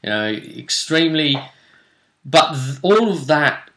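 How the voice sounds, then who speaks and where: A man's voice: a little high, sing-song, slow, fairly bright tone. A man casually recapping a tabletop role-play tournament as game master, talking emphatically and dramatically, repeating phrases for effect. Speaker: game master and vidcast host. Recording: roomy, echoey room sound.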